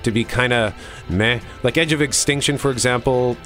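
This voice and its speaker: A man co-hosting an entertainment show, mixing casual, opinionated chat with presenter-like links in a measured manner. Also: medium pitch, a bit bright, faint music behind. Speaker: co-host of an entertainment review show.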